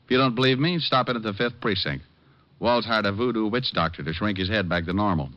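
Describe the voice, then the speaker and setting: An actor playing a wry, unflappable private detective and former cop in an old radio drama, glib and offhand, with an American accent. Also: male voice, lowish voice, fast, dry recording, close to an everyday voice. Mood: teasing, glib. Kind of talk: 1950s radio drama acting. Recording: muffled old radio recording.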